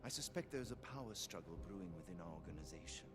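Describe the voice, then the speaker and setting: A man playing a grave, weary idealist leader in a video game drama, speaking in a deliberate, confiding way. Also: sits low, lively intonation. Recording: loud music behind the voice.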